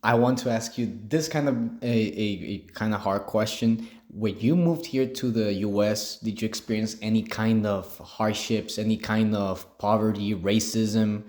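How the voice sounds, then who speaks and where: A man's voice: lowish voice, normal speed, few pauses, a little mellow. A young man interviewing for a class project, casual, with frequent likes and restarts. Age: young adult. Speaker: student interviewer.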